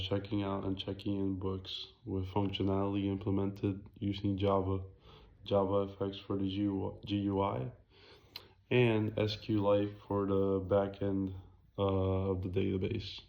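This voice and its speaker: A young man with a raspy voice giving an informal student project presentation in a hesitant, halting way, often correcting himself. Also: low voice, dull audio. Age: young adult.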